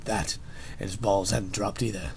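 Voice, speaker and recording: A man's voice: on the low side, fairly even intonation, normal speed, gravelly. Man narrating a story over audible noise. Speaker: narrator of a fan fiction reading. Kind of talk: dramatic scripted narration.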